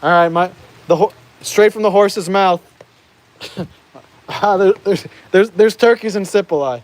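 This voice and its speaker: A fairly high-voiced American man chats loosely on an outdoor live stream, tripping over his words and laughing now and then. Rain patters steadily in the background. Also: a little slow, dry recording. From United States. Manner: chatty, laughs often, stumbles over words. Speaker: vlogger reading live chat. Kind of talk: casual live stream chat. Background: rain falling.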